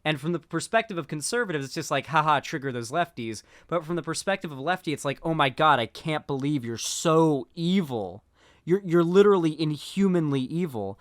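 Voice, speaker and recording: A man's voice: a little high, expressive, fairly quick, dry recording, studio quality. A man giving casual political commentary while stoned, rambling with lots of fillers and restarts.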